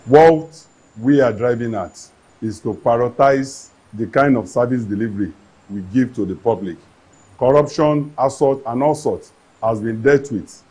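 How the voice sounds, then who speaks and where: A husky-voiced man speaks as an agency official in a news interview. He answers in an earnest, official manner and sometimes restarts his phrases. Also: mid-range voice, a little slow, darkish tone, poor audio.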